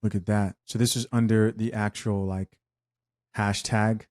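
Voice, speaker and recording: A man from Zambia gives calm, easygoing commentary on the screen in a slightly low voice. His sound is close and dry, with no room echo. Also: unhurried. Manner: easygoing, mild, describes what is on screen. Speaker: reaction host. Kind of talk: casual reaction commentary.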